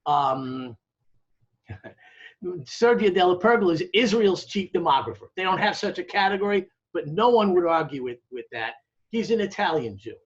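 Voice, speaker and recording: An American man explaining things in a conversational interview, emphatic and didactic, often checking in and posing rhetorical questions. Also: high voice. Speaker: academic interviewee, demographer. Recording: dull, lo-fi audio.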